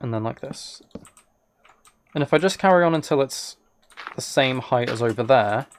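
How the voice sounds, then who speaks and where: A man's voice: medium pitch, moderate pace, a little hiss or hum. A man streaming a video game, chatting casually and thinking aloud in hesitant fragments. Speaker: live streamer. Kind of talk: casual livestream chat while playing a video game.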